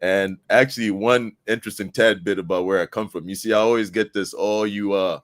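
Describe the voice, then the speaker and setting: A man with a Zambian accent speaks in a deep voice with a dry sound. He chats casually as an interview guest, explaining his views and checking in with short tag questions. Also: fairly even intonation, fairly quick, no echo.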